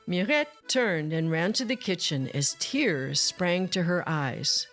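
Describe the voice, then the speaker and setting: A woman narrating a children's story aloud as host, in a measured, deliberate, expressive read-aloud style. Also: fairly low pitch. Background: music.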